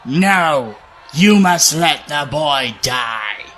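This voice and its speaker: A merciless villager, acted by a man, shouts commands in an audio drama. The words come extremely slowly in a raised, higher voice. A crowd is heard faintly behind. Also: expressive, soft background music, full character voice. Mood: furious. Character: merciless male villager from an angry mob.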